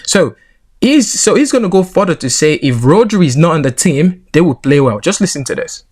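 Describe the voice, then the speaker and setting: A man's voice: fairly high pitch, very animated, normal speed, darkish tone, slightly rough. A man with a Nigerian accent giving heated football reaction commentary, indignant and emphatic. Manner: indignant, rising into emphatic rants.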